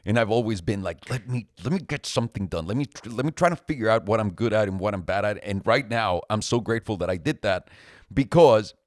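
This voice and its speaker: A man's voice: on the low side, lively intonation, a little fast, dry recording. A man, co-hosting a podcast in a chatty, joking way. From Mexico. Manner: joking, talkative, laughs often. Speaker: podcast co-host. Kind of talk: casual chat.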